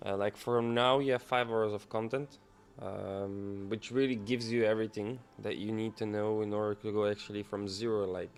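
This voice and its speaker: Laid-back young man, low voice. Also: steady tone, normal speed, hardly any pauses, clear, resonant tone. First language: Dutch. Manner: casual, loose, musing half to himself. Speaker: trading livestreamer. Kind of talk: live-stream commentary, thinking aloud.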